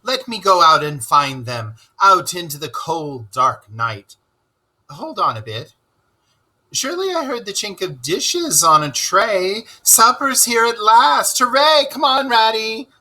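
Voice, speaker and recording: Male reader as excitable toad, headstrong, blustering, melodramatic, slightly rough-edged voice, lively pitch, children's storybook. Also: slow pace, heavily acted, poor audio. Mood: self-pitying, then excited. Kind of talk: animated children's storybook character reading.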